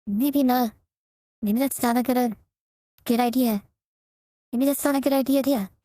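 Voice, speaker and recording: A man voicing a hesitant young androgynous game character through a pitch-raising voice changer. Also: steady tone, normal speed, warm tone, no echo, studio quality. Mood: unsure, musing. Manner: hesitant, repeating short phrases.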